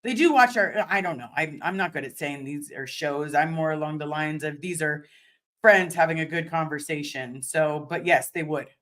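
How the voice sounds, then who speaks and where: A woman with a deep voice, slightly hoarse today, hosting a casual livestream and answering viewer chat in a rambling way, often restarting and repeating words. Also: normal speed, a bit bright.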